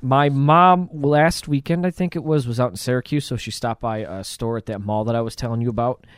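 A man's voice: mid-range voice, brisk, clean tone, no echo, clean studio sound. A man co-hosting a podcast, chatting casually in a laid-back, slangy way. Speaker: podcast co-host. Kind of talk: casual chat. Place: small podcast studio.

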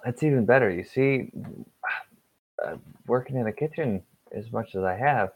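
A man's voice: medium pitch, moderate pace. A man in his twenties, a cook, chatting as a podcast guest in an easygoing, wry, rambling way. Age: 20s. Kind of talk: casual chat. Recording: dull lo-fi sound.

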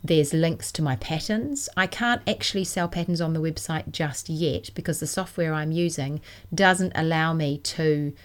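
A woman from New hosting a knitting podcast, chatting casually and enthusiastically, her voice still a little croaky from a cold. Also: deep voice, normal speed. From New Zealand. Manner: chatty and enthusiastic, self-deprecating. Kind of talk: casual solo podcast chat.

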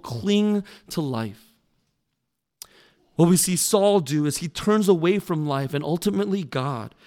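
Male pastor, church sermon, earnest and pleading, crisp resonant voice pitched a bit high. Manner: earnest, pleading, with emphatic pauses. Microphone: mic that briefly cut out.

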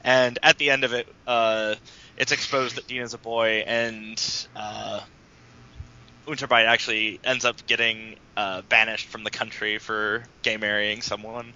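Bright-voiced man chatting, lo-fi. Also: lowish voice, level tone, measured pace, a little hiss or hum. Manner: chatty, explains at length with restarts. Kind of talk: casual podcast discussion. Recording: dull lo-fi recording.

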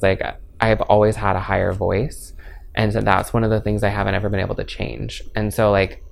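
A young man in his twenties with a low, gravelly voice and some vocal fry. He talks in a loose, chatty way, full of filler words, and sounds open and easygoing as he answers interview questions. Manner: candid and easygoing. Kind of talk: casual personal interview.

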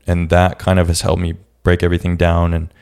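A young man hosting his own podcast, talking in a casual, reflective way with a raspy voice and many restarts. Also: deep voice, monotone, brisk, darkish tone. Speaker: podcast host. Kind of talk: casual solo podcast talk.

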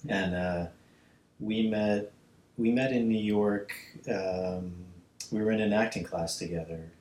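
A man's voice: fairly low pitch, fairly even intonation, slow pace, darkish tone, rough recording. A man speaking conversationally as a podcast host, introducing his show and interviewing a guest.

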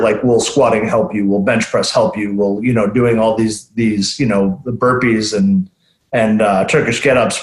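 A man co-hosting a podcast, chatting casually and building on points with fillers. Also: mid-range voice. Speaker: podcast co-host. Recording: roomy echo.

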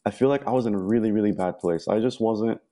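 Young egyptian-born guy rambling on a podcast, lowish mellow voice, lots of ums. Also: fast talker. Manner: rambling, many ums and restarts. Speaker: guest. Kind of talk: casual interview talk.

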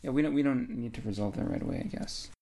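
Male podcast co-host, mid-pitched voice, informal and geeky, loose rambling delivery. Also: wildly swooping pitch, fast talker, gravelly, dark tone. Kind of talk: casual book discussion chat.

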